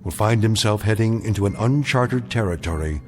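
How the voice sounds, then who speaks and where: A man giving solemn, measured scripted narration for a radio drama. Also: on the low side, expressive. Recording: music behind the narration.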